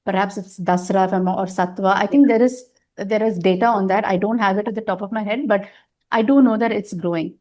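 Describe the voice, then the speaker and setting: A woman's voice: mid-range voice, fairly even intonation, a little fast, darkish tone. Talkative emphatic woman. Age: middle-aged. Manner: talkative, emphatic, with frequent fillers and restarts. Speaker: interview guest, nonprofit governance advisor. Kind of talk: candid conversational interview.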